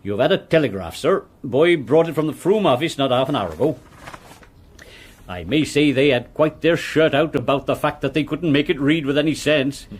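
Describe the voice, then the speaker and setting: An actor playing a deferential, gossipy village postmaster in a period radio drama, with a husky voice. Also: male voice, a little high, lively intonation, normal speed, a little hiss or hum, natural voice. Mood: apologetic, chatty. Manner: chatty, folksy, deferential.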